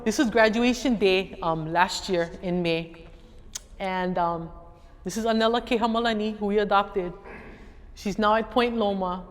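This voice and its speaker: A middle-aged woman with a Hawaiian accent, speaking as an educator and guest speaker. She tells a story to an audience in an animated way and acts out other people's voices. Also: medium pitch, unhurried. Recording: echoey hall, faint background noise.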